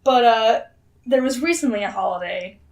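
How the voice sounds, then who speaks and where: A young adult woman co-hosting a podcast in casual comedic banter, excitable, giggly and sweary. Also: on the high side, moderate pace, far from the mic. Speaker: podcast co-host.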